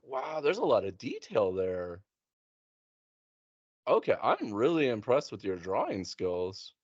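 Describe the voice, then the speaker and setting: A man's voice: fairly low pitch, wildly swooping pitch, slow, slightly rough, no room sound, lo-fi sound. A man hosting a casual chat, talking in an enthusiastic, conversational way. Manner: enthusiastic, frequent laughter. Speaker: podcast host. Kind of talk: casual chat about role-playing games and films.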